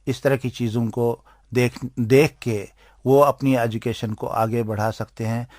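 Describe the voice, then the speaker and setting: A man with an Indian accent giving explanatory interview answers as an expert, speaking in deliberate, halting phrases. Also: medium pitch, dry recording, clean studio sound. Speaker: interviewed expert on aids for blind people.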